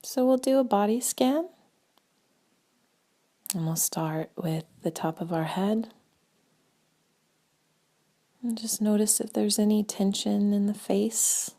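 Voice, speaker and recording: Slow, creaky-voiced woman guiding meditation. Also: medium pitch. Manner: slow, gentle, spaced-out phrasing with long pauses. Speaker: meditation guide. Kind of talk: guided meditation.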